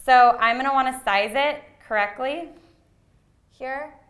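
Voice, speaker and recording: A woman giving a live training presentation to an audience in a meeting room. She speaks loudly and casually, with frequent ums. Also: high voice, a little slow. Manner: chatty, frequent ums, loud voice. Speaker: workshop presenter. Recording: roomy echoey hall sound.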